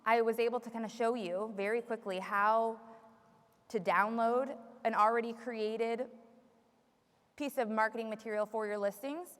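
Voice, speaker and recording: A woman with a fairly high voice works as a software trainer, walking listeners through each step in plain, explanatory language. Her voice carries a long room reverb, as in a live training session. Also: steady tone, normal speed. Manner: explanatory, repeats audience questions for the room. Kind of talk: live software tutorial with audience questions.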